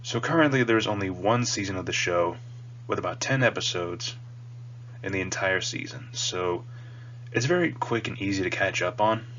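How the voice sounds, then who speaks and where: A man with a low voice, a bit nasal and sniffly from a cold, hosts a casual review. He talks in an earnest, emphatic, wordy way. The recording is dull and lo-fi, with a faint hum behind him. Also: normal speed, fairly bright tone. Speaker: video host reviewing a cartoon. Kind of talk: casual rambling review to the audience. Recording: dull lo-fi mic with audible hum.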